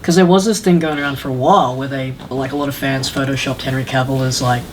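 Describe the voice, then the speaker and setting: A woman with a notably low voice talks very quickly in casual conversation as a gaming show co-host. There is some noise in the background of the recording. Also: steady tone. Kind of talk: casual chat about games and films.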